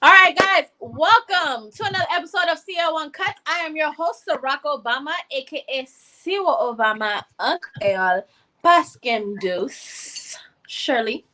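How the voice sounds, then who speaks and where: A young woman with a very high, bright voice hosts a casual podcast. She talks in a playful, slangy way and jokes around. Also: animated, moderate pace. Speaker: podcast host. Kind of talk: casual chat.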